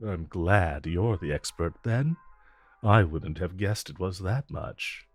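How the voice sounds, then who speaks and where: A man playing a suave, flirtatious supervillain in a romantic audio roleplay, talking in a smooth, teasing, unhurried way with drawn-out pauses. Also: low-pitched, faint music behind. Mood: reluctant, wry. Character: suave, flirtatious male supervillain visiting his tailor. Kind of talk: romantic audio roleplay acting addressed to the listener.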